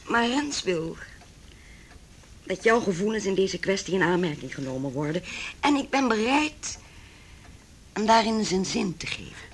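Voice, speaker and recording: A woman's voice: mid-range voice, lively intonation, unhurried, long pauses, slightly rough. An actress playing a cold, wealthy middle-aged mother in a Dutch radio play, speaking in a formal, condescending, cutting way. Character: haughty, cold, wealthy mother opposing her son's marriage.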